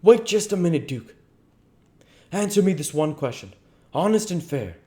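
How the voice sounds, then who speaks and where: Man acting blustering old con man, pitched high. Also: very animated, moderate pace, dark tone. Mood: wheedling protest. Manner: sarcastic, folksy rural speech. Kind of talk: dramatised audiobook reading.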